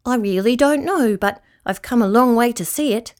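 A woman's voice: fairly high pitch, normal speed, close to an everyday voice. A woman voicing a polite, apologetic sea rat in a children's storybook, earnest and easily puzzled. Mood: eager, earnest.

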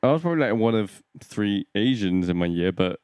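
A man's voice: fairly low pitch, animated, brisk, darkish tone, smooth, no echo, clean studio sound. A man from Reading, co-hosting with wry, laid-back remarks. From Reading, England. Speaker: podcast co-host.

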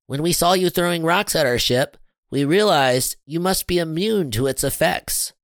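A man's voice: fairly high pitch, normal speed, fairly bright tone, no room sound, clean studio sound, voice pushed up. Man voicing a formal, fretful little alien scientist. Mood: earnest explaining. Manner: formal, stilted phrasing.

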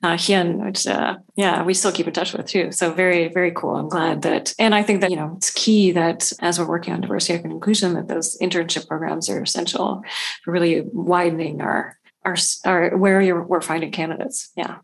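A woman's voice: lowish voice, fairly even intonation, fairly quick, vocal fry, husky. A woman hosting a podcast interview, affirming and encouraging toward her guests. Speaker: podcast host.

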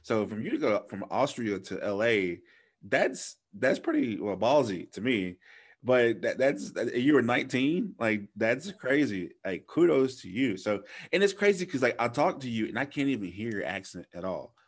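A man's voice: mid-range voice, big pitch swings, normal speed. A man in his thirties from Louisiana, hosting a podcast interview in a laid-back, slangy, teasing way. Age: 30s. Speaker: podcast host.

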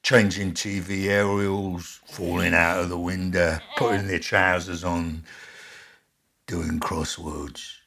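An actor in an audio story playing a huge male thug with a slow, deliberate, mock-friendly menace and a gravelly, husky voice. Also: deep voice, level tone, fairly bright tone, full character voice. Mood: threatening. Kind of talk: dramatised audio story dialogue.

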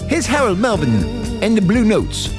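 A man's voice: high voice, very animated, moderate pace, over loud music. Profane, rambling talk show host man. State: hungover. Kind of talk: casual talk show banter.

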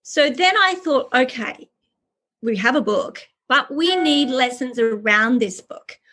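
Earnest woman with a husky voice. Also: high voice, expressive, slow, fairly bright tone. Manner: earnest, passionate storytelling. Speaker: author and early childhood educator. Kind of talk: podcast interview answers.

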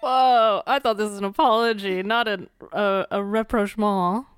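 A woman's voice: medium pitch, steady tone, normal speed, smooth, no echo. Playful, chatty woman podcast co-host. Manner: playful and chatty, leads the case narration. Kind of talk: casual true-crime chat.

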